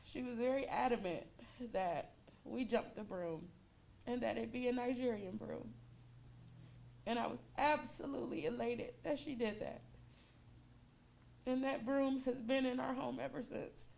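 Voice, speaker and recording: A woman's voice: fairly high pitch, unhurried, creaky, darkish tone. A woman giving a personal, heartfelt family tribute at a memorial service.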